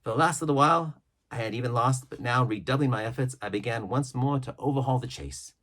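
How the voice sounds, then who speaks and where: A man reading a classic adventure novel aloud as an audiobook, with a dramatic, varied storytelling delivery and deliberate pauses. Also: mid-range voice, fairly bright tone. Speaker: audiobook reader.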